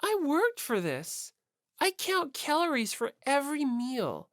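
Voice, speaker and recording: A woman plays a brash teenage schoolgirl model in an audiobook, full of herself and fond of teasing. Her pitch swings widely between cutesy and scornful. The sound is very dry. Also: huge pitch swings, unhurried, no echo, exaggerated voice. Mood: scornful, vain.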